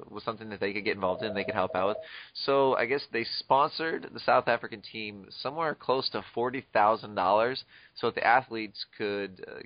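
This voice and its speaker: A man's voice: fairly low pitch, no echo, dull audio. A man hosting a podcast, chatty and casual, with frequent fillers and amused asides. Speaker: podcast host.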